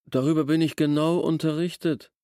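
A man's voice: a little high, steady tone, fairly quick, mellow, dry recording, close to an everyday voice. Man acting as village schoolteacher, pompous, bureaucratic, formal literary drama, dry studio sound. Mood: indignant, grudging. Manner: pedantic, self-important, bureaucratic. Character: pompous, officious, grudging male village schoolteacher. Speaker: actor in a literary radio drama.

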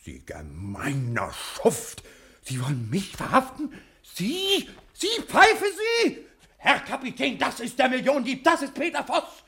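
An actor playing a vain, lovestruck private detective, a man with a creaky voice and a theatrical, blustering manner. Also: very high voice, huge pitch swings, a little slow, pushed and bright, exaggerated voice. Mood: outraged. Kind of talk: German screen comedy-adventure dialogue.

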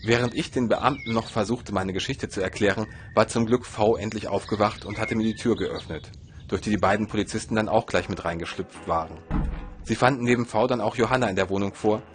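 A man with a fairly low voice narrates his own story. He reads from a script in a measured, literary way, like an audio feature. There is faint ambient noise in the recording. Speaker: narrator and filmmaker.

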